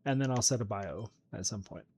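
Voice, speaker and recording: Low-voiced man narrating his gameplay. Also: fairly quick, darkish tone, a little hiss or hum. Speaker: gaming video host. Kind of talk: casual gameplay commentary.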